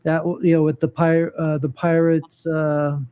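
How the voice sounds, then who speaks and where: A man's voice: a little high, monotone, moderate pace, dark tone, smooth. A man co-hosting a casual geeky podcast, giving blunt opinions with plenty of hesitation. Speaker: co-host. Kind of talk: casual chat.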